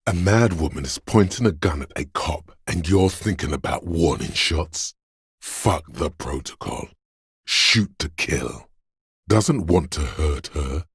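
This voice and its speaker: Very deep, gravelly voice of primal dread. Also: male voice, animated, no room sound, clean studio sound, exaggerated voice. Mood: violent urgency. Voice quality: deep, gravelly, husky rasp. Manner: slow, ominous, goading, profane. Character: menacing, paranoid primal fight-or-flight instinct speaking inside a detective's head. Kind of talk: dramatic video game voice acting, second-person inner voice.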